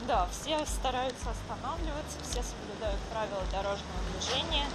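A young woman with a fairly high voice explains things in a casual chat. She is walking outside, and street noise is clearly audible around her. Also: brisk. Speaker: language school representative. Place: outdoors on city streets. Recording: noisy outdoor street sound. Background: street ambience.

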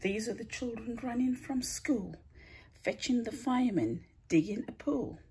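A woman reading a rhyming children's picture book aloud in a theatrical, deliberate way, stretching words and hushing the ends of lines. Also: on the high side, expressive. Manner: theatrical, deliberate storytelling with drawn-out words and breathy hushed line endings. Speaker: storybook reader, teacher.

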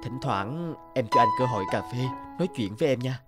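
A man's voice: medium pitch, expressive, fairly quick, close to an everyday voice. A man playing an attentive, wistful old flame in a cartoon, speaking tenderly and courteously. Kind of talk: animated cartoon dialogue. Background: background music.

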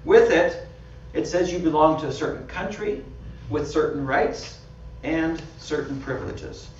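A man's voice: a little high, measured pace, a little mellow, slight background noise. A man with a Canadian accent, a pastor giving a conversational sermon with slides, laughing at himself often. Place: small church hall. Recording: dull, roomy sound from far off.

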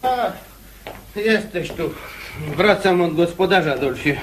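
An actor playing an earnest, worried younger gentleman protesting in a radio play. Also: high-pitched, animated, measured pace, a little hiss or hum. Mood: exasperated. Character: worried, reasonable married younger gentleman, a nephew. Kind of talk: radio drama acting.

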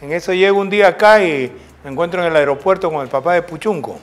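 An older man with a Peruvian accent tells long, winding anecdotes as an interview guest. His voice sits somewhat high for a man, and he often tags his sentences with a questioning "right?". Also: quick pace. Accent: Peruvian Spanish. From Peru. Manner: rambling anecdotal storytelling with tag questions. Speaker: former football coach, guest. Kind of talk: storytelling interview.

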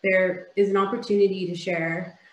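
A woman's voice: mid-range voice, flat delivery, normal speed, echoey room, rough recording. A woman from Ontario with a Canadian accent, giving a polished, upbeat keynote over a video call. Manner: polished, upbeat presenting with occasional fillers. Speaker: keynote speaker.

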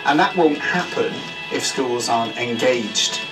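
A middle-aged man, a head teacher, speaking in a measured, earnest way in a school film. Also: medium pitch, animated, low-quality recording. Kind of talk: interview in a school promo film. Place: school office, played on a hall screen. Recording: film played with loud music behind.

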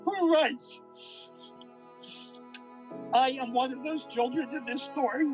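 A man speaks solemnly at a graveside gathering, heard from a distance outdoors. His voice is very high for a man, with faint music below. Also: slow. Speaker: eulogy speaker. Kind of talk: graveside eulogy. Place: outdoors at a cemetery.

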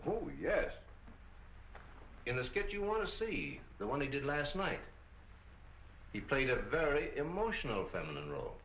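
An actor playing an obliging, businesslike older radio executive in a 1940s film. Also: male voice, mid-range voice, sing-song, moderate pace, pauses often, fairly bright tone. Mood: explanatory. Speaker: radio station manager. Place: furnished office film set. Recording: muffled, echoey, hissy old film soundtrack.

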